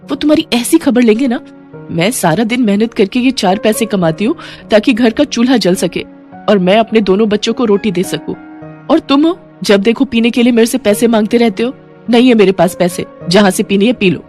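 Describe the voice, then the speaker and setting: A woman's voice: fairly high pitch, brisk, darkish tone, natural voice. A woman voicing a poor, hardworking, defiant mother who sells sweets, outspoken and firm, in a moral story. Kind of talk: moral-story cartoon acting. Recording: music bed behind the voice.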